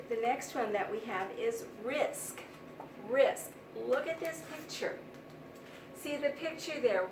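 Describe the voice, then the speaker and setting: Lady teacher talking slow to little kids, high voice, kinda far from the mic. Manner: simple, deliberate explaining with repetition. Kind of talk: read-aloud vocabulary lesson for young children. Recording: roomy, distant mic, noisy background.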